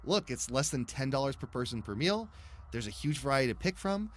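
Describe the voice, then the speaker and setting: A man's voice: medium pitch, sing-song, a little fast, a little hiss or hum. A man hosting a podcast, chatting casually and reading a sponsor message. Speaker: podcast host. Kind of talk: casual chat and ad read.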